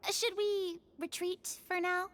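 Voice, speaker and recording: A woman voicing a tiny, excitable childlike fairy companion in an anime game, cutesy and easily spooked. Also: slow, exaggerated voice. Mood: relieved. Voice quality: squeaky, cutesy. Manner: expressive, gasping, third-person childish talk.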